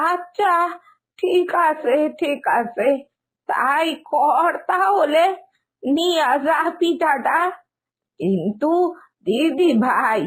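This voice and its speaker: A woman playing a doting, insistent old village grandmother in a cartoon, with an East Bengali accent and slow, coaxing, drawn-out speech. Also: high-pitched, heavily acted. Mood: resigned. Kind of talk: animated moral-story cartoon acting.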